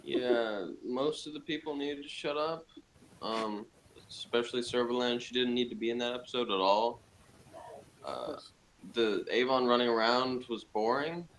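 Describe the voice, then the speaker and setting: A man's voice: mid-range voice, moderate pace, a bit bright. A man giving a blunt, dismissive review in casual conversation. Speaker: podcast reviewer. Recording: clearly noisy background.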